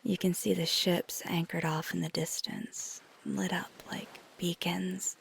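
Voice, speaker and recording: A woman acts out a tender, flirty vampire woman in an intimate ASMR roleplay. Her voice is very breathy and often sinks into a whisper, with a crackly fry at the edges. She speaks slowly and gently, caring and a little wistful. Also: on the low side, flat delivery, full character voice. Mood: soft wonder. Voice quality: soft, breathy, mostly whispered. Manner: slow, gentle, hesitant, teasing.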